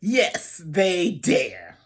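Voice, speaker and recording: Slow, animated older woman reading a storybook. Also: on the low side, husky. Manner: theatrical, drawn-out phrasing with asides to listeners. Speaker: storybook reader. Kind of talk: animated read-aloud of a picture book for children. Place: small room at home, indoors.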